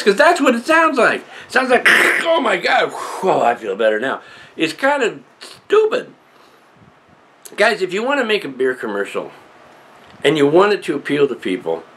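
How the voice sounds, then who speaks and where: An older man chatting casually to camera as a vlogger, rambling and wry while working on a prop. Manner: rambling, wry, lively pitch. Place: small cluttered room indoors, then patio workshop.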